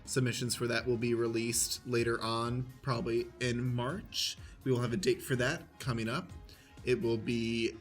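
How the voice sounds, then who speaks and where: A man's voice: medium pitch, flat delivery, moderate pace, faint music behind. A man working as narrator and host of an improvised radio play, reading stage directions and announcements.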